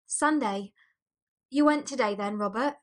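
A woman voicing a gentle, sorrowful mother who keeps a brave, steady face, in an audiobook. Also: fairly high pitch, a little slow, voice pushed up, lightly acted. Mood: tender. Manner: soft-hearted, bravely steady. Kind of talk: dramatised audiobook character voice.

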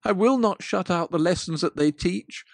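A woman voicing a frightened old miser who pleads loudly and urgently, in a dry, close recording. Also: fairly low pitch, animated, measured pace, dry recording. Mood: beseeching. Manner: loud, urgent, beseeching.

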